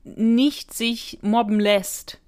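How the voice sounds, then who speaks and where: A woman's voice: fairly high pitch, fairly even intonation, extremely slow, darkish tone. A woman co-hosting a podcast, commenting casually and conversationally on a story. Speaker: podcast co-host. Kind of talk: casual chat, commenting on an audio drama.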